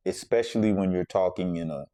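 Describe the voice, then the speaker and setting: A man's voice: sits low, fairly quick, warm tone, dry recording, studio quality. A man from the Bahamas hosting a podcast, telling a personal story in a reflective, conversational way and circling back to repeat his points. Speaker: podcast host.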